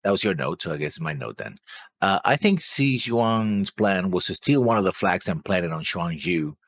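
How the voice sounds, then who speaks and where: A man's voice: sits low, sing-song, normal speed, a bit bright. A man co-hosting a reaction show, thinking aloud in casual talk and often trailing off. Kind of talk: casual discussion.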